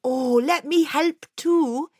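A woman plays a small, generous juniper tree. It offers help eagerly and brightly. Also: sits high, takes it slowly, pitched above the performer's own voice.

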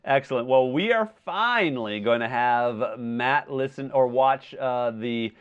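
Slightly muffled lo-fi audio, guy cohosting a movie podcast, clean smooth voice, measured and kinda hedging. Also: medium pitch. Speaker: podcast co-host. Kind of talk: casual movie chat. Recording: slightly dull, lo-fi sound.

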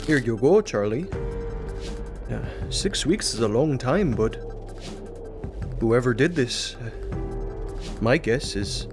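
A man acts out a subdued police colleague in an audio drama. He talks slowly, in a halting and understated way. There is clear music behind his voice. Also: mid-range voice, expressive. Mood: hesitant. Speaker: voice actor.

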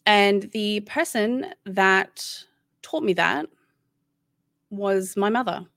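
A woman's voice: mid-range voice, slow pace, a bit bright, dry recording, studio quality. An Australian woman in her thirties, a guest chatting casually and candidly, rambling with many restarts. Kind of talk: casual interview chat.

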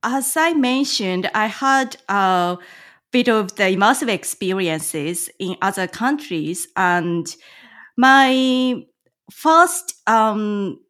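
A woman from Japan speaks English as her second language, answering in careful, measured phrases with frequent hesitant pauses. Her voice sits at an ordinary middle pitch for a woman, heard over a remote call microphone. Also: lively intonation, fairly bright tone. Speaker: guest, licensed tour guide. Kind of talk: podcast interview answers.

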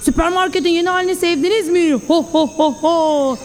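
Woman voicing a gloating little villain pea, high-pitched. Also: fairly even intonation, in a large hall. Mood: smug. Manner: theatrical, taunting, drawn-out villain laughs. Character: gloating, mischievous villain pea, small and bossy.

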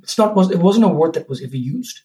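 A man's voice: sits high, lively intonation, fast talker, dark tone. A man from Sri Lanka, a guest and business owner, talking reflectively on a podcast, often checking in with "right?". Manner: reflective, rambling, tags with "right?". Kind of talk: casual conversation.

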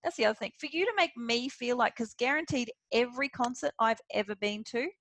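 Chatty woman, high voice. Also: fairly quick, no room sound. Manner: animated and chatty, many fillers. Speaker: podcast host. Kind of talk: casual interview chat.

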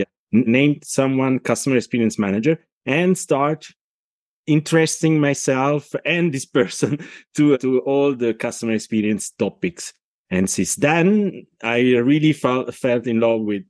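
Italian-accented man, halting interview guest. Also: mid-range voice, darkish tone, dry recording. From Italy. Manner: halting, many restarts and repeated words. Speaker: podcast guest, consultant. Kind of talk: casual interview talk.

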